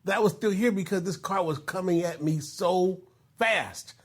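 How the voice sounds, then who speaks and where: Fifties guy on a podcast, kinda high voice, laughs a lot while telling stories, says "you know" constantly. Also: normal speed. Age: 50s. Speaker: podcast host. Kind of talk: casual podcast chat.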